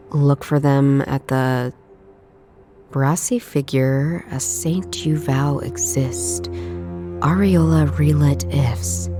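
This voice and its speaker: Casting: dry, musing female ex-detective for a noir game, deep voice, unhurried delivery. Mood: solemn reading aloud. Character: sharp-witted wry former woman detective, investigating a mystery. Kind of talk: visual novel game narration, thinking aloud. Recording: voice under prominent background music. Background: music.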